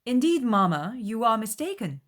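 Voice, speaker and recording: A woman's voice: fairly high pitch, big pitch swings, moderate pace, pitched above the performer's own voice. A woman voicing a witty, self-possessed young lady in a dramatized audiobook, playful and articulate. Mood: embarrassed correction.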